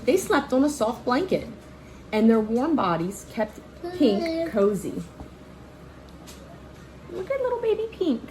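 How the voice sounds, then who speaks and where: Slow-paced woman reading a picture book aloud. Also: high-pitched, slight background noise, rough recording. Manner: expressive, child-directed, pauses to ask questions and point out pictures. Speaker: read-aloud storyteller and teacher. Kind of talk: children's picture-book read-aloud with asides.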